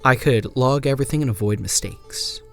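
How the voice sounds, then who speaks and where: A man playing a wary frontier traveller, narrating a scripted horror journal in a grave, measured, dramatic way. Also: medium pitch, soft background music, lightly acted. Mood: grave, brooding.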